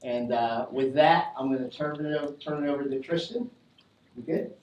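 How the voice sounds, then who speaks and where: A man's voice: medium pitch, normal speed, darkish tone, slight background noise. A man hosting a college business event, speaking casually and joking with the students. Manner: upbeat, joking with the audience. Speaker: college faculty host. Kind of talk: casual introductory speech. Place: lecture hall. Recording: roomy hall, picked up from a distance.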